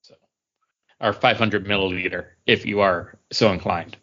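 A man co-hosting a podcast, explaining things casually in a halting way. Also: deep voice, fairly even intonation, pushed and bright, highs cut off. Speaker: podcast co-host. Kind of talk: casual chat.

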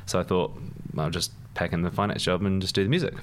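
A man's voice: low voice, fairly even intonation, fast talker, a little hiss or hum. A young man with a New Zealand accent, a podcast guest chatting in a laid-back, rambling way with many ums and easy laughs. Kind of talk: casual conversation.

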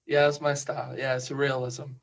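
A man from Spain with a Spanish accent, a guest poet who chats hesitantly and reads his poems slowly and softly. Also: mid-range voice, flat delivery, vocal fry. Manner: hesitant, soft-spoken, slow measured reading. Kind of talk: casual chat and poetry reading.

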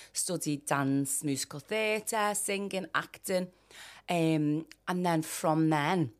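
A low-pitched woman with a Liverpool accent tells stories from her career in a relaxed podcast chat. Her talk is easy and chatty, with plenty of ums and restarts. She sounds like someone in her late forties. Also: vocal fry. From Liverpool, England. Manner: chatty storytelling with frequent fillers. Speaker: guest, performer and former professional dancer. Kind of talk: casual podcast interview.

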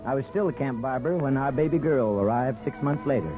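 Earnest young husband played by an actor, old radio. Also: male voice, medium pitch, a little fast, close to an everyday voice. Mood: reflective narration. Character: earnest young husband, small-town barber turned soldier. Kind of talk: 1950s radio drama acting and narration. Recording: dull 1950s radio recording, music behind.

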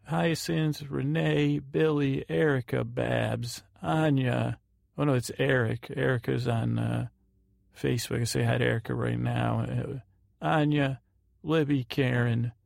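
Man, very creaky fry-laden voice, even pitch, halting rambling podcast monologue, close dry recording. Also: no room sound. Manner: rambling, halting, often correcting himself. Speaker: podcast host.

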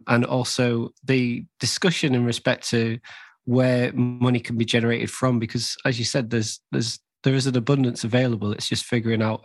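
A man hosting a podcast interview, speaking in a measured way and often restarting and repeating phrases. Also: medium pitch, no echo. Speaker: podcast host. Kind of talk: conversational interview.

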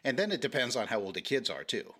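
A man's voice: mid-range voice, sing-song, fast, a bit bright, slight background noise. A middle-aged man chatting casually as a podcast guest, hedging with many restarts and fillers while explaining diplomatically. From Hawaii.

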